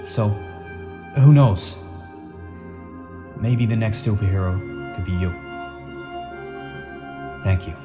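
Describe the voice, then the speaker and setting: A man's voice: lowish voice, slow pace, long pauses. A man playing an earnest, humble, plain-spoken superhero in a radio play. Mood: humble, rousing. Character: earnest, folksy male superhero, part shark, humble and noble. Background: music.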